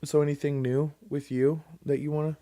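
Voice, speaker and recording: A man's voice: a little high, flat delivery, fairly quick, dark tone. A man co-hosting a casual podcast, joking and teasing, often restarting his sentences. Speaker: podcast co-host. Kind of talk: casual banter.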